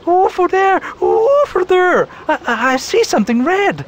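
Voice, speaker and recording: A man voicing a small, excitable female robin in a read-aloud storybook, stammering and flustered. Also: very high-pitched, unhurried, slight background noise, voice pushed up, exaggerated voice. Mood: excited. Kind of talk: storybook character voice.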